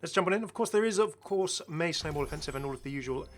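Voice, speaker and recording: A man's voice: fairly high pitch, animated, fast talker. A man giving casual, enthusiastic game commentary as a chatty, rambling video host.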